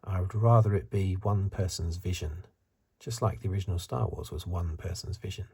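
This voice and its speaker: A man giving soft-spoken, half-whispered ASMR commentary in a husky, breathy voice, musing and rambling as he goes. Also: sits low, normal speed. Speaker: ASMR reviewer.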